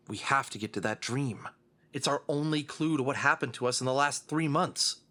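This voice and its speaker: A man's voice: medium pitch, normal speed, sharp tone, natural voice. A man playing a weary, reluctant fox hero with a dry, guarded manner, in an audio drama. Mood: grave, guarded.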